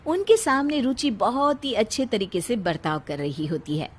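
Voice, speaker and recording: A woman's voice: fairly high pitch, lively intonation, normal speed, slightly rough. A woman narrating a scripted cartoon family story in the role of storyteller.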